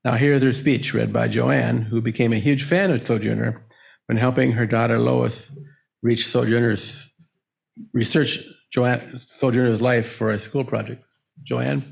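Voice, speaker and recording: A man reading scripted biographical narration in a measured, formal way, as a minister introducing a reading. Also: mid-range voice, fairly even intonation, vocal fry.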